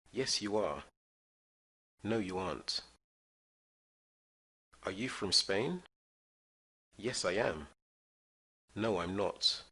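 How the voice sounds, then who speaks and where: A man teaching English, reading short phrases slowly and clearly for listeners to repeat. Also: sits low, pushed and bright. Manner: clear drill-style reading of phrases for repetition. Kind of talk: slow scripted language lesson.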